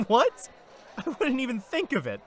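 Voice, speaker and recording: Man playing a proud younger brother, swooping pitch. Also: wildly swooping pitch, slow pace, fairly bright tone, heavily acted. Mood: playful protest. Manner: casual, sibling banter. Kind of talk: fantasy audio drama acting.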